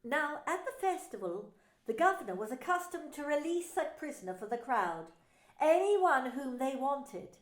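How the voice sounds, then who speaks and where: Expressive woman narrating scripture. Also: sits high, normal speed. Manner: expressive, dramatic storytelling. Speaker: narrator and minister. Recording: roomy echo.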